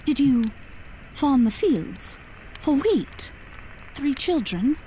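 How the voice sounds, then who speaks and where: A woman's voice: high voice, big pitch swings, slow, dark tone, slight background noise, heavily acted, low-quality recording. A woman playing a polite, curious wife at a séance in an audio horror drama, earnest and inquisitive.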